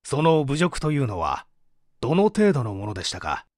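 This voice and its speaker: A man reading dramatically as a formal prosecutor, questioning a suspect in a measured, pressing way. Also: mid-range voice, huge pitch swings, a little mellow, no echo, studio quality. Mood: probing, stern.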